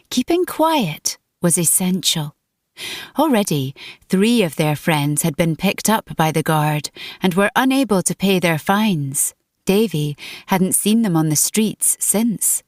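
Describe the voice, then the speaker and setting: A woman reading dramatic fiction as an audiobook narrator, in a measured, deliberate storytelling manner. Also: on the low side, a little mellow, no echo, studio quality.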